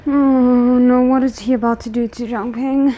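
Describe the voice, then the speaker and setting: A woman giving casual, expressive reaction commentary on a drama, thinking aloud as she goes. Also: fairly high pitch, moderate pace, poor audio. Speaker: reaction video host.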